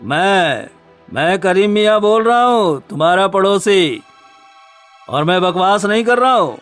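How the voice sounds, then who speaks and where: A man's voice: sits high, animated, a bit bright, soft background music. A man voicing a smug, scheming blackmailing neighbour in a cartoon story, with a taunting, theatrical manner. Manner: taunting, drawn-out, theatrical menace. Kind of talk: Hindi cartoon story dubbing.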